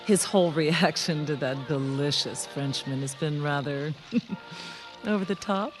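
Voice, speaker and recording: A woman's voice: sits low, unhurried, music in the background. An actress playing a flirtatious, wealthy married society woman in a radio mystery, arch, coy and teasing. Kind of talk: radio mystery drama acting.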